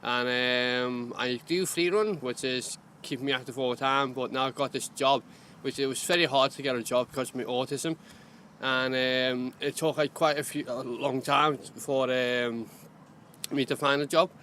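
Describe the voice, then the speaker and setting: A man works as a community gardener and speaks openly, in simple, halting phrases. His voice is of ordinary pitch for a man. Faint outdoor sounds drift behind him. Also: steady tone, sharp tone, slight background noise. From Liverpool, England. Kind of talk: interview answers outdoors.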